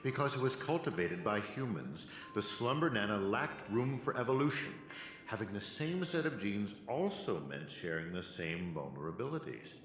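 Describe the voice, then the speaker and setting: An actor playing a scheming, condescending professor villain who lectures in a theatrical way. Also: male voice, fairly low pitch, sing-song, normal speed, a little hiss or hum. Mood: smug lecturing. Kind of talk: video game voice acting. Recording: roomy game audio with music.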